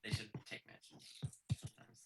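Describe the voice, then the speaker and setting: A man from Canada chatting casually on a group voice call, often restarting his sentences. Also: very noisy. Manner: halting, self-correcting.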